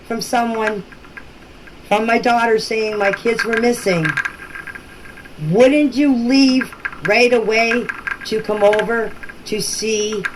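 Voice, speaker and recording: Insistent middle-aged woman, noisy voice message. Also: mid-range voice. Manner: insistent, plain-spoken, repeating herself. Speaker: local resident answering questions in a group chat. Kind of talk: informal voice messages. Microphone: voice messages recorded for a group chat. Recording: noisy amateur voice-message clips.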